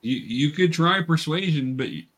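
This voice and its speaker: A man's voice: on the high side, animated, normal speed, a bit bright. A man acting as game master, patiently coaching players and narrating the action in an encouraging, improvised way.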